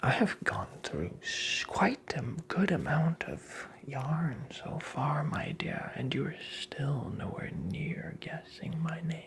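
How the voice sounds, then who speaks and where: A performer playing a creepy, teasing, very old male magical imp in a whispered ASMR roleplay, with breathy, creaky, theatrical delivery. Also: medium pitch, lively intonation, fairly bright tone. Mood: taunting, impatient. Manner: slow, theatrical, taunting, toying with the listener.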